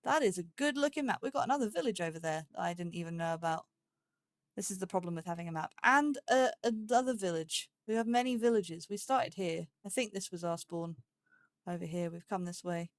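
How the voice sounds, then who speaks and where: Woman, slightly low voice, casual let's play host, rambling and playful as she thinks aloud. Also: animated, fairly quick, no echo. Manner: rambling, self-chiding, playful.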